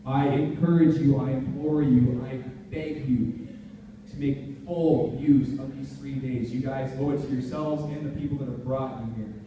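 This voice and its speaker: A man's voice: mid-range voice, fairly even intonation, normal speed, muffled recording. A young man gives an earnest, emphatic motivational talk to a live audience in informal language. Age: young adult. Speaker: motivational speaker at a student leadership conference. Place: large indoor conference hall. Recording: echoey noisy hall, far from mic.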